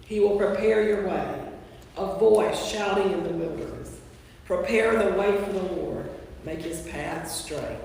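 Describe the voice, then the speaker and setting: A man playing a biblical prophet in an amateur staged scripture reading, with a creaky voice. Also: high-pitched, unhurried, a little mellow. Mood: solemn proclaiming. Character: biblical prophet proclaiming a messenger's coming. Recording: very echoey church, distant, faint noise.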